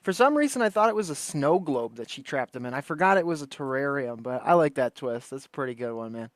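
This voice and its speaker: A man's voice: medium pitch, expressive, fast talker, dry recording, studio quality. A man hosting a livestream in casual chat, talking in an informal, slangy, rambling way. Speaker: livestream host.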